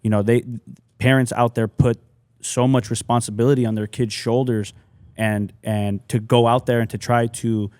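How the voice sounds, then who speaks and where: A man's voice: mid-range voice, flat delivery, moderate pace, a little mellow, clean tone, no echo. A man from the San Diego area, hosting a podcast in an informal, slangy, conversational way and reacting to his guest. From San Diego area, United States. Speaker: podcast host. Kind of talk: casual conversational interviewing.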